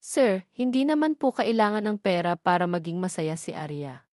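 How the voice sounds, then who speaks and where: A woman's voice: fairly low pitch, moderate pace, darkish tone, no room sound, close to an everyday voice. A woman narrator acts out a young suitor in an audio love drama. He is humble, earnest and respectful as he pleads, in a close, dry recording. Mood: humble, pleading.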